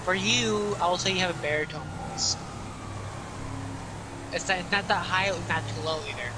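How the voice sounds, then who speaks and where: A man chatting casually during an online game, rambling and halting with many fillers. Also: high voice, background noise. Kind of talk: casual chat while gaming.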